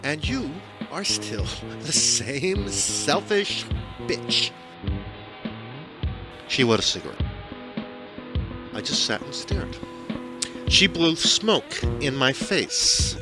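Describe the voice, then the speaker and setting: Man narrating surreal stories with lively, swinging pitch. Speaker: author narrating own audiobook. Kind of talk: scripted first-person literary narration.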